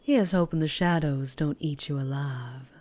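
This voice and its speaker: A woman playing a mysterious, wary and teasing female vampire biker with a Southern US accent, in an intimate ASMR roleplay with breathy whispers. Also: a little mellow. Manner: slow, low, teasing, lapsing into breathy whispers. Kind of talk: ASMR roleplay, scripted character acting.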